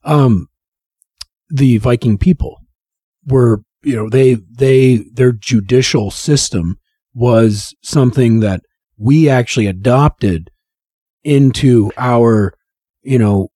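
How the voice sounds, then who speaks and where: A man's voice: medium pitch, mellow, breathy edge, dry recording. A man co-hosting a casual podcast, rambling and cursing, losing his voice to a cold. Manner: rambling, profane, restarts and drawn-out phrases. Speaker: podcast co-host.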